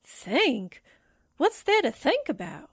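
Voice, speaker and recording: A woman playing an eager, excitable, slangy newspaperwoman sidekick in a 1940s-style radio drama. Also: high voice, slow pace, dry recording, studio quality, exaggerated voice. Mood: eager, impatient. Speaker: actor in an amateur radio drama re-creation.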